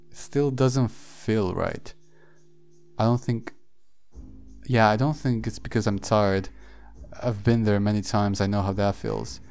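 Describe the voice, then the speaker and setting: A man hosting a solo podcast, thinking aloud in a halting, reflective way with frequent pauses and fillers. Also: on the low side, darkish tone, smooth. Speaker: podcast host. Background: faint background music.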